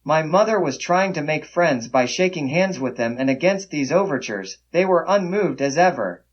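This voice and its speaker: A man's voice: a little high, expressive, normal speed. A man reading as a solemn frontier memoirist, in a formal, old-fashioned storytelling manner, for a history narration. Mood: solemn, grave. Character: man recounting his frightening boyhood frontier ordeal, solemn memoirist. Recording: dull, band-limited sound.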